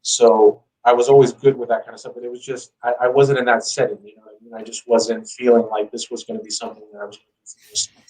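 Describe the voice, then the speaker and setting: A man's voice: mid-range voice, level tone, quick pace, dark tone, distant mic, low-quality recording. A man telling his story as a guest in a casual interview, speaking informally with lots of "you know". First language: English.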